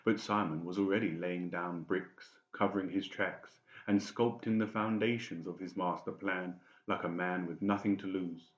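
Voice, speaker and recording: A man's voice: deep voice, fairly even intonation, moderate pace, hardly any pauses. A man narrating a dramatic audiobook story from a script. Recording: roomy, slightly dull sound.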